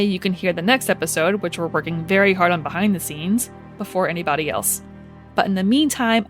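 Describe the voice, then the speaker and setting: A woman hosting a podcast, giving a casual, upbeat promo with playful asides. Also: mid-range voice. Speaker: podcast creator and host.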